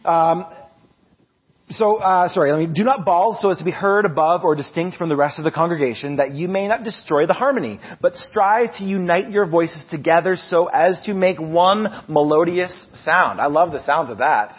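Male preacher, loud voice, somewhat high pitch, husky tone, muffled sound, conversational sermon. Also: moderate pace. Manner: animated, self-deprecating, many restarts. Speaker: preacher and music leader.

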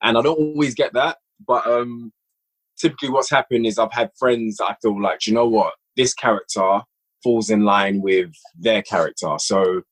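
A man's voice: lowish voice, normal speed, fairly bright tone, husky, low-quality recording. A young man, a podcast guest who writes and directs audio dramas, talking casually and eagerly about his process. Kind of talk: casual conversation.

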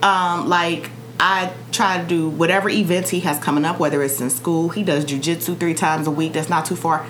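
Candid woman guest, lowish voice. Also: steady tone, moderate pace, hardly any pauses, a little hiss or hum. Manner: candid, frank, speaks plainly about her feelings. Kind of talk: casual conversational interview.

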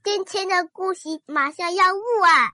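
Tiny cartoon hermit crab, squeaky and very high, bright, childlike, dry sound. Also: moderate pace, dry recording, heavily acted. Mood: chirpy.

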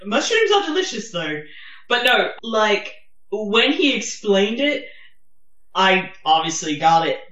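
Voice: mid-range voice, big pitch swings, slow, fairly bright tone. Wry co-host in a roomy, muffled recording. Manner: opinionated, swearing, wry. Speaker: podcast co-host. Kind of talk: casual chat reviewing a TV episode. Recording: roomy, distant, dull sound.

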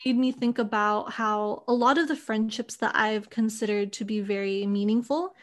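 Young woman, from Hawaii, slightly raised pitch, thoughtful, casual fillers, interview. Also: steady tone, moderate pace. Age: young adult. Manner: thoughtful, many fillers like "like" and "you know". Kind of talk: reflective personal storytelling.

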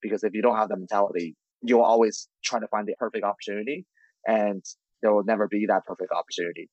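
A man in his late twenties from China, a guest entrepreneur telling his story in relaxed, candid casual chat. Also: lowish voice, fast, no echo, highs cut off.

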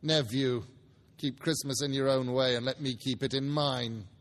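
A man's voice: mid-range voice, steady tone, moderate pace, natural voice. A man voicing a scornful, curt, growling old miser in a dramatic storybook reading.

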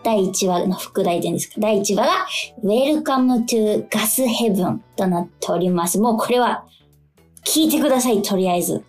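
A woman's voice: medium pitch, animated, moderate pace, a little mellow, soft background music. A woman co-hosting a radio show in a casual, conversational style.

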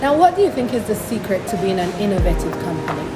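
A woman's voice: medium pitch, sing-song, quick pace. A woman hosting a magazine interview show, chatty and enthusiastic as she introduces and questions her guest. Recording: loud background music.

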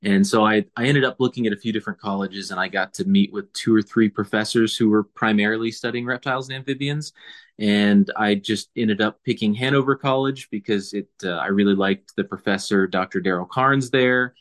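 A man's voice: lowish voice, fairly even intonation, a little fast. A man from Indiana, a biology professor, answering interview questions in a thoughtful, rambling way with frequent restarts. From Indiana, USA. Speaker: guest biologist.

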